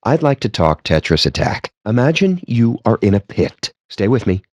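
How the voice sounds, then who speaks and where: A man's voice: on the low side, very animated, normal speed, darkish tone, husky, no echo, highs cut off. A man voicing a wry, pompous, foul-mouthed gamer geek in video game dialogue, with a sardonic, wordy and theatrical delivery. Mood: intense, theatrical.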